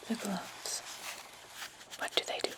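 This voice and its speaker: A woman playing a commanding witch in a whispered ASMR fantasy roleplay, speaking slowly and deliberately in a breathy whisper as she instructs her servant. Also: lightly acted. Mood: quietly commanding.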